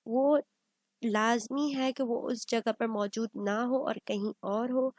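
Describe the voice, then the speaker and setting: Woman, law lecturer. Slow, halting explanatory delivery, dry close sound. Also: on the high side, darkish tone, no echo. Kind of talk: explanatory lecture, Urdu mixed with English legal terms.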